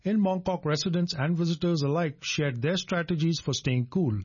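Husky higher-voiced male newsreader, muffled sound. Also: normal speed. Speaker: radio news presenter. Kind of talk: scripted news bulletin reading.

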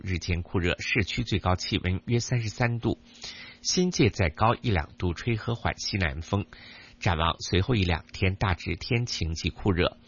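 A man reading a radio weather forecast in a measured, formal way. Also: low-pitched, slightly rough, dull audio. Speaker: news reader.

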